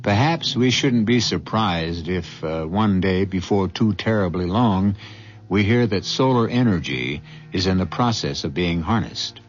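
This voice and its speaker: A man hosting a 1970s radio mystery, narrating in a dramatic, deliberate manner. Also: deep voice, expressive. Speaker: host narrator. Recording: dull 1970s radio recording with music.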